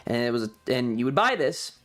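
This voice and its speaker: A man's voice: medium pitch, very animated, fairly quick, fairly bright tone. A man streamer casually recounting a trip in a rambling, sweary, amused way, with music behind him.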